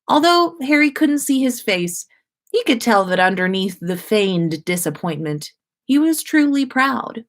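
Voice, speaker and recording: Slow-paced woman reading a story aloud. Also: a little high, sing-song. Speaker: podcast host reading listener fan fiction on a livestream. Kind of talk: expressive story narration.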